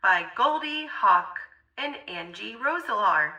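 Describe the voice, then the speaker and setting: A woman's voice: medium pitch, expressive, slow, bright, forceful tone, far from the mic, highs cut off. A woman reading a children's story aloud theatrically, in a sing-song, rhythmic way with exaggerated expression.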